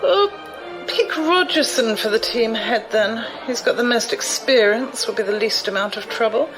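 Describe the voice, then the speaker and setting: A woman's voice: a little high, animated, normal speed, over music, close to an everyday voice, low-quality recording. A woman playing an imperious, shrewd, wealthy older noblewoman in an audio drama, commanding and condescending. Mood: curt, commanding.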